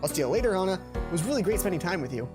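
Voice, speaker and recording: A man's voice: high-pitched, big pitch swings, very fast. A man voicing a cheerful, helpful young male student tour guide from a visual novel, earnest and chatty. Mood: eager, friendly. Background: game music.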